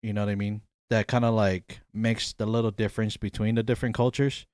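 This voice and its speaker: A man's voice: fairly low pitch, a little fast, clean tone, no echo, clean studio sound. A man from Hawaii hosting a podcast, chatting casually and rambling a bit as he explains things. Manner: relaxed, rambling, often says "you know what I mean". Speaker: podcast host.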